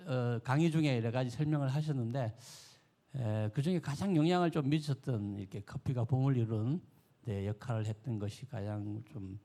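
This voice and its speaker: Fast-talking man asking from the audience. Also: medium pitch, dark tone. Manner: polite, searching. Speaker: audience member asking a question. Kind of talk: question from the floor.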